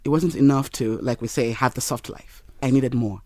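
A man's voice: mid-range voice, darkish tone, no echo. Earnest man, dry close sound. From Nigeria. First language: Yoruba. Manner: earnest, measured storyteller. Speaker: interview guest. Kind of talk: personal storytelling in an interview.

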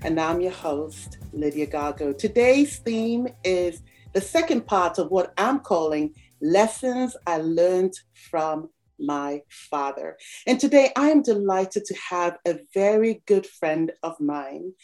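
Low-voiced woman podcast host. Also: lively intonation, takes it slowly, a little mellow, soft background music. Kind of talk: podcast interview hosting.

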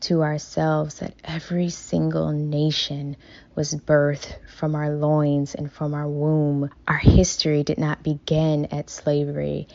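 A woman's voice: sits low, warm tone, dull audio. A woman from Florida, a business owner, delivering a rousing message. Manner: declamatory, rousing. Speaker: business owner, listener contributor.

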